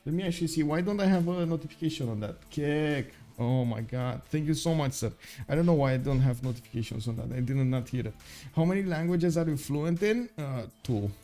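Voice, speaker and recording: A man's voice: on the high side, expressive, a little fast, warm tone. Chatty Romanian-native man casting a game. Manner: filler-heavy, upbeat, chatty with viewers. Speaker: game caster. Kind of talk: live esports commentary.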